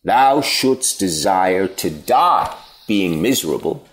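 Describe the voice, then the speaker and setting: An actor playing a cynical, needling Athenian philosopher in a Shakespearean audio play, deliberate and mocking. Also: male voice, low voice, expressive. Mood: scolding.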